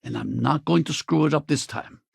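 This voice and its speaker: An actor playing an easygoing, gruff middle-aged spacefaring militia veteran and regretful father in a video game, with a gravelly voice and a casual, folksy, wry manner. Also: fairly high pitch, moderate pace, no room sound, full character voice. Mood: earnest, hopeful. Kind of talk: video game companion dialogue.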